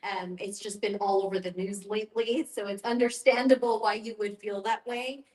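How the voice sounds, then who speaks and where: A woman with a mid-pitched voice leads an informal discussion. She explains things in a reassuring, conversational way. The room around her is audibly echoey. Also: steady tone, normal speed, darkish tone. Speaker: guest facilitator and end-of-life educator.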